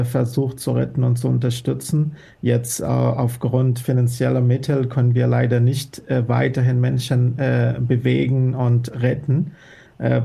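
Earnest man, gravelly low-key voice. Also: mellow. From Afghanistan. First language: Dari. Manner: earnest, many hesitation fillers. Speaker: guest, former interpreter. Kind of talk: radio interview answers.

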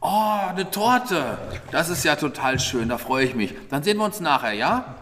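A man's voice: on the high side, sing-song, moderate pace, sharp tone. A man hosting an improvised audio play as head of a magic school, interviewing children and echoing their answers encouragingly. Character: cheerful head of a wizard school. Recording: echoey hall, faint background noise.

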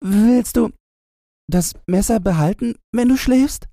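A man's voice: high voice, slow, dark tone, dry recording, studio quality, pitched above the performer's own voice. Man doing a timid little girl. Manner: timid, pleading. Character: frightened gentle little girl.

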